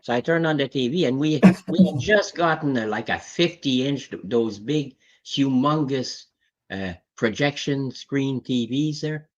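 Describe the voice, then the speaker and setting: A man's voice: medium pitch, measured pace. A man, telling a story in rambling, casual chat with easy swearing. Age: 60s. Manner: rambling, swears casually, acts out quoted voices. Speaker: guest on comic livestream. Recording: muffled lo-fi call audio.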